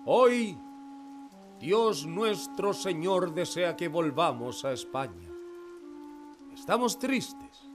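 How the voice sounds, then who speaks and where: A solemn missionary priest in a radio play. He preaches with devotion at a very slow, measured pace in a higher-than-average voice, over soft music. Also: sing-song, heavily acted. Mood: solemn, fervent. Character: solemn, devout colonial Jesuit missionary priest. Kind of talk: radio drama acting.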